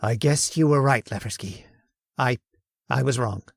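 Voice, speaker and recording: A woman's voice: extremely low pitch, moderate pace, gravelly, no room sound, studio quality, exaggerated voice. A woman playing an obsessive, arrogant male scientist who bosses his assistant around and sneers at him. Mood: subdued. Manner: bossy and domineering, gloats and sneers. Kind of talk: old-time radio horror acting.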